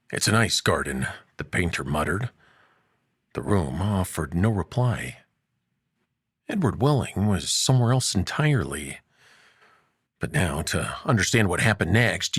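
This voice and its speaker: A man hosting a story show and narrating a science fiction tale, expressive, dropping to hushed low lines and lifting for emphasis. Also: breathy edge, dry recording, clean studio sound. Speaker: host and narrator.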